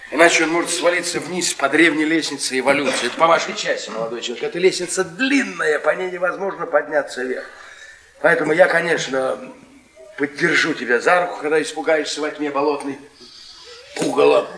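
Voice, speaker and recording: An actor playing a bitter, sardonic middle-aged academic husband with an ironic, mock-tender manner in a radio play. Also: male voice, on the high side, brisk, bright, forceful tone. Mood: bitter, weary. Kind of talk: theatrical radio play acting. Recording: echoey theatre recording with audience noise.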